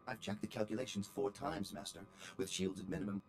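An actor voicing a loyal, anxious droid servant in a video game, formal and deferential. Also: male voice, on the low side, fairly even intonation, quick pace, close to an everyday voice. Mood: dutiful.

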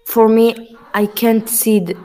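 A young woman on a webcam video call. She speaks in halting, earnest English. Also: a little high, level tone, warm tone, faint music behind. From Morocco. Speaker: video chat guest. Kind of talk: casual video chat.

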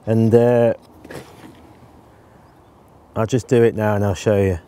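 A man in his forties explaining his canal fishing setup in a relaxed, casual way, with frequent ums, recorded outdoors on a lapel mic. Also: lowish voice, takes it slowly, clear, resonant tone. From England. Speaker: angling presenter. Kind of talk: casual instructional talk to camera.

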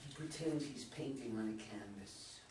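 A man's voice: medium pitch, normal speed, darkish tone. An older man reading stage directions as scripted narration at a play reading. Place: meeting room with long table, indoors. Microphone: far camera. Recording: roomy, distant mic, background room noise.

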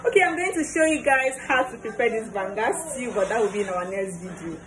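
A woman's voice: fairly high pitch, lively intonation, a little fast, fairly bright tone. A young woman with a Nigerian accent chatting casually to a phone in a kitchen as a cooking vlogger. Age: young adult. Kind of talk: casual vlog chat. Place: small kitchen at home, indoors. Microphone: phone at arm's length. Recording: noisy room ambience.

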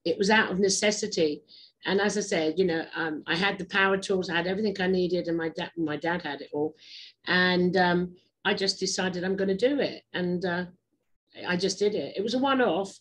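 A woman hosting an interview show, chatty and digressive, quick to laugh. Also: lowish voice, fairly bright tone, a little hiss or hum, poor audio. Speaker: host. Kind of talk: casual interview chat.

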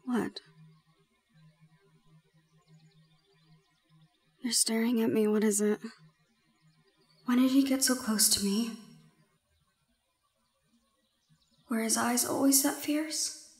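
A woman voicing a shy but stubborn young gorgon in an intimate romantic audio roleplay, sometimes dropping into breathy, whispery asides. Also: on the high side, moderate pace, a little mellow, no echo. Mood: shy, flustered. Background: music.

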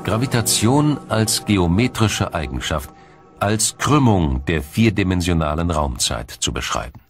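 A man with a low voice delivers scripted documentary narration. He explains in a measured, formal way, sentence by careful sentence, over faint background music. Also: big pitch swings, breathy edge.

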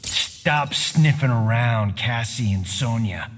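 An actor playing a cocky, flippant action-movie star and dad trading video game banter. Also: male voice, medium pitch, animated, slow pace. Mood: threatening. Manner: flippant, mocking delivery. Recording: hall-like reverb, game ambience noise.

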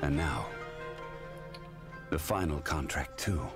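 A man playing an ancient, resolute guardian god in a game trailer, speaking in a stately, grave, measured way. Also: a bass voice. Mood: solemn, resolute. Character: ancient male god of geo, solemn, resolute protector. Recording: loud background music.

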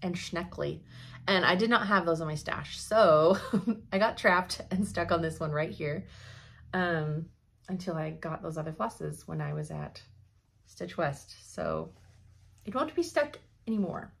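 A young woman giving a chatty cross-stitch update from her home craft room, her voice slightly hoarse, laughing often as she thinks aloud. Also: lowish voice, unhurried, a bit bright. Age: young adult. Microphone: camera in front at desk.

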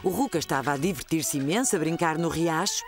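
A woman playing a kindly narrator of a children's cartoon story, expressive, with a European Portuguese accent. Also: low voice, fairly quick, music in the background. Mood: storytelling.

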